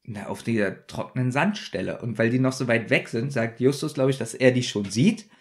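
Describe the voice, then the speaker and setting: A man's voice: mid-range voice, fairly quick. A man co-hosting a podcast in casual, bantering chat. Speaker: podcast co-host.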